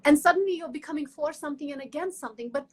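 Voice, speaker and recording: A woman's voice: sits high, racing. A woman from Kashmir, speaking earnestly in a conversational interview, often restarting and repeating words. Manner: earnest, frequent restarts and repetitions. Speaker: guest academic in conversation.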